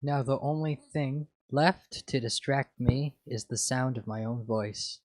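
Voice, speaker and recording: A bitter, lonely female machine mind read by a man, full of contempt in a slow fan fiction monologue. The voice is medium-pitched and sounds close and dry. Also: dry recording, natural voice. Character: bitter, contemptuous female artificial intelligence left alone. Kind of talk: dramatic fan fiction reading.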